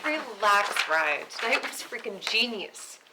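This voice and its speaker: A woman's voice: mid-range voice, big pitch swings, unhurried. A woman playing a cocky, defiant, foul-mouthed vigilante at a film script table read, in a noisy live recording. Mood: exhilarated, defiant. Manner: cocky, flippant, sweary.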